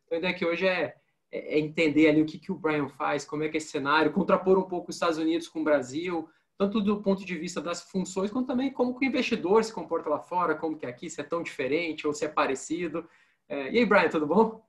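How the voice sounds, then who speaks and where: A man from Brazil hosting an interview, briskly framing topics and asking questions. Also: a little high. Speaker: interviewer host.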